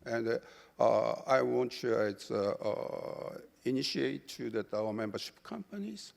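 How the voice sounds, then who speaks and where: Male panelist, Japanese accent, heavily creaky voice, halting delivery, reverberant hall. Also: mid-range voice. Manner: halting, fragmented English. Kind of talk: live panel discussion.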